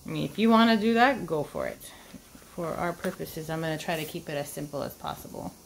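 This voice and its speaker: A woman's voice: low voice, sing-song, fairly quick, vocal fry. A woman giving an informal step-by-step drawing lesson for children, thinking aloud as she works. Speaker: art teacher. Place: indoors at a table.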